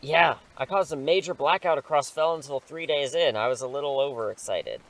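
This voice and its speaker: A man acting in an audio drama as an impulsive, excitable superhero mentor, rambling and reassuring, sheepish when challenged. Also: a little high, brisk, poor audio. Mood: encouraging, instructive.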